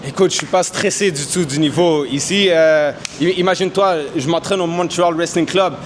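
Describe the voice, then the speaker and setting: Confident fighter, slang-heavy. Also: male voice, on the high side, moderate pace, a little hiss or hum. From Canada. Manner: confident, mixes English slang into French. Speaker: MMA fighter. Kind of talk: casual interview answers. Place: boxing gym.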